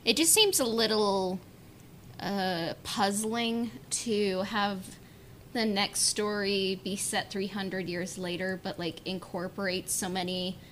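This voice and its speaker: A woman's voice: mid-range voice, steady tone, slow, fairly bright tone. A woman chatting casually on a fan discussion podcast, informal and hedging, with illustrative asides. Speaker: podcast panelist. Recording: clear background noise.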